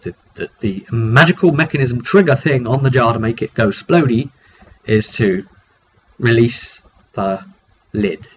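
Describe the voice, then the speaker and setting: A British man, a game master, casually recounting a tabletop roleplay session in a chatty, rambling way and acting out what players said. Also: medium pitch. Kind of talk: casual storytelling monologue. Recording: dull lo-fi mic with faint noise.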